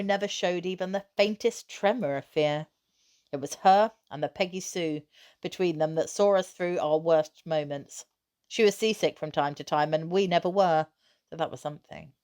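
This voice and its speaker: A woman reading a children's novel aloud, narrating expressively with measured storytelling emphasis. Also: lowish voice, a little mellow.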